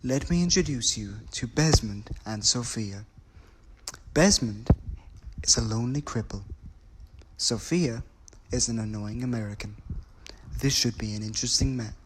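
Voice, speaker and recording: A man playing a mock-serious reality TV narrator, delivering scripted introductions in a deadpan announcer manner. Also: mid-range voice, measured pace, a little hiss or hum, natural voice.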